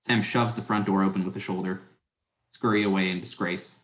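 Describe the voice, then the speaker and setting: Low-voiced man narrating in an echoey room. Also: fairly even intonation, fairly quick, fairly bright tone. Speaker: narrator reading stage directions. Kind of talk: scripted dramatic narration. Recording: roomy, echoing sound.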